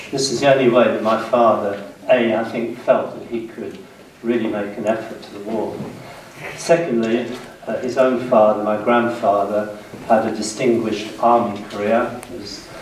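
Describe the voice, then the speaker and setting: A man in his sixties with a British accent gives a public history lecture in a measured way, pausing between short phrases. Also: lowish voice, fairly even intonation. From United Kingdom, west of London. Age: 60s. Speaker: guest lecturer. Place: indoor seminar room, speaking to an audience. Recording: very echoey room, distant mic, faint noise.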